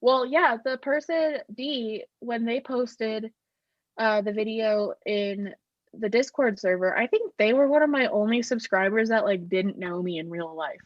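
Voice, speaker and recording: A woman's voice: fairly high pitch, a little slow, dry recording, dull audio. A young woman in her twenties, a podcast guest chatting casually with lots of fillers and laughter, with a slightly nasal voice. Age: 20s. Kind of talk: casual chat.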